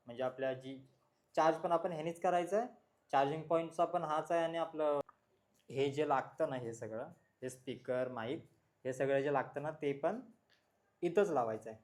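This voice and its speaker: A man's voice: a little high, moderate pace, darkish tone. A man, speaking as a vlogger. He chats casually to viewers, often repeating himself as he explains what he is showing. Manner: informal, repetitive, explains while showing things. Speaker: vlogger, motorcycle channel host. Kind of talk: casual product showcase chat to viewers.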